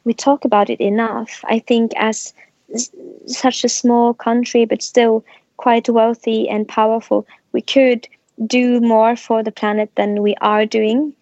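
A woman's voice: fairly high pitch, little pitch movement, a little slow, darkish tone, no echo. A young woman, a musician speaking reflectively in a casual interview, with many small restarts. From Norway. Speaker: musician guest. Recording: muffled, slightly lo-fi sound.

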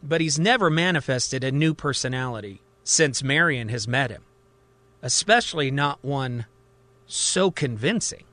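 Bright, forceful male storyteller. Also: mid-range voice, lively intonation, unhurried, no echo. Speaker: storyteller and podcast host. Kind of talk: dramatic storytelling narration in present tense.

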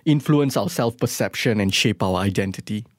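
A man's voice: lowish voice, moderate pace, dry recording. A man hosting an interview, putting questions to a guest in a conversational way. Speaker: interviewer, host.

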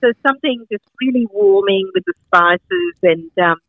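A woman's voice: medium pitch, expressive, moderate pace, no echo. Enthusiastic middle-aged woman, Sri Lankan accent, rambling guest; dull dry lo-fi audio. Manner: enthusiastic, rambling with many fillers. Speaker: guest, market founder and farmer. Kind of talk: casual interview chat.

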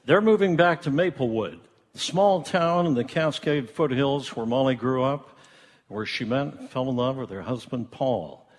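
A man's voice: mid-range voice, lively intonation, moderate pace. A man narrating a live radio play in a folksy, conversational storytelling style. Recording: echoey theatre hall.

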